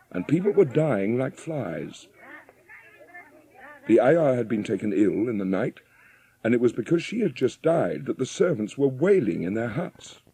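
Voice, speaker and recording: A man's voice: lowish voice, gravelly, dark tone, slightly rough. A man narrating a dramatised audiobook story in a scripted storytelling style. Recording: music behind the narration.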